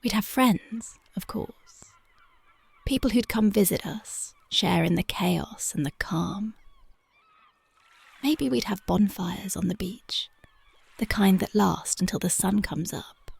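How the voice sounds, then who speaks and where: A dreamy young punk girlfriend from an ASMR roleplay, voiced by a woman. Her voice is gravelly and husky, and her words come unhurried and soft. She keeps sinking into close, devoted whispers. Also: mid-range voice, no room sound, exaggerated voice. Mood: tender, dreamy. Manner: soft, often drifting into whispers. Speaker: ASMR voice actress.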